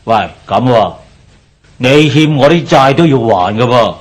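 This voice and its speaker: Pushy older uncle in a cantonese radio drama, hong kong accent, lecturing and sighing, mid-pitched voice. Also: male voice, lively intonation, a little slow. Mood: nagging, warning. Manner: lecturing, sighing, presses his point. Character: older male creditor, nagging and pushy.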